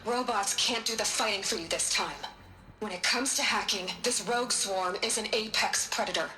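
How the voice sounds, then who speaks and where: A woman's voice: medium pitch, moderate pace, sharp tone, close to an everyday voice. A woman playing a blunt, impatient expert scientist in a video game, speaking insistently. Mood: impatient, urgent. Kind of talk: dramatic video game acting. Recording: echoey room, faint music.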